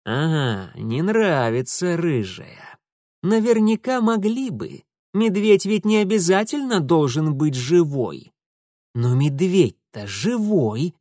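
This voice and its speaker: A witty young gentleman sleuth talks in a mocking, sarcastic way at a raised pitch, as a male narrator plays him. The recording is dry and close. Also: lively intonation, slow pace, no echo.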